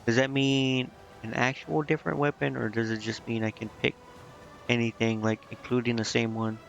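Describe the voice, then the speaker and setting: Gravelly man streaming a video game. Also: medium pitch, little pitch movement, moderate pace, faint music behind. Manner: musing, questioning himself as he reads menus. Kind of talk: casual gameplay commentary, thinking aloud. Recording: muffled lo-fi mic with clear background noise.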